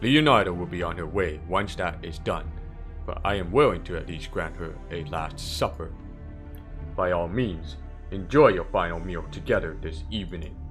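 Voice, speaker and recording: A man acts out a sinister priest with a low-pitched voice, formal and unhurried in manner. He is politely menacing and smoothly condescending, in the style of a dramatic game dub, with music playing underneath. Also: fairly bright tone. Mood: cool, matter-of-fact. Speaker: amateur voice impressionist. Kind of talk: dramatic fan dub character acting.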